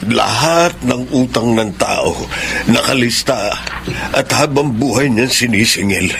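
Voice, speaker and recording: A weary middle-aged father in a radio drama, sorry for himself, in a medium-pitched voice. The recording has a clear noisy hum. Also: unhurried. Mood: dejected, bitter. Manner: weary, self-pitying. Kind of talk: Filipino radio drama acting.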